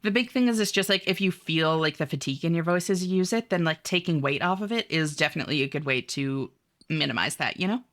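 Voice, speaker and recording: A woman's voice: deep voice, fast, fairly bright tone. A woman streaming a game, chatting casually and upbeat with her viewers, full of "like" and "um" and the odd swear. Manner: reads viewer messages aloud. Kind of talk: casual live-stream chat, answering viewers.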